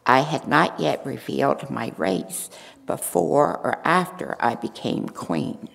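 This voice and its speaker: Older lady reading as queen esther, earnest, low gravelly voice, slow, big echoey church. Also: animated, full character voice. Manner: reads steadily from a script, earnest. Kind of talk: first-person dramatic monologue read in church. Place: large church sanctuary. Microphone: stand mic at a lectern. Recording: reverberant church hall.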